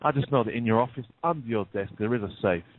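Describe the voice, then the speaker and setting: A man's voice: medium pitch, fast talker, slight background noise, lightly acted. A man playing a smug, menacing bank robber in an audio play, speaking with taunting, mock-polite deliberation. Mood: mocking, commanding. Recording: muffled narrow phone-like sound.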